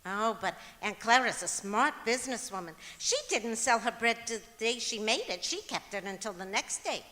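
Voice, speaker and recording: A woman's voice: a little high, lively intonation, moderate pace, pushed and bright. A woman playing a scatterbrained, guileless wife in an old-time radio comedy performed on stage, earnestly delivering cheerful nonsense. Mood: innocent, matter-of-fact. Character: scatterbrained, cheerfully illogical wife with her own daffy reasoning. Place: performing arts center auditorium, live stage. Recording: echoey auditorium stage hall.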